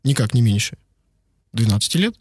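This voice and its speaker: A man's voice: mid-range voice, very animated, fairly quick, breathy edge, no room sound, studio quality. A man, a law firm partner, answering interview questions in a loose conversational way with many fillers. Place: lives and works in Beijing.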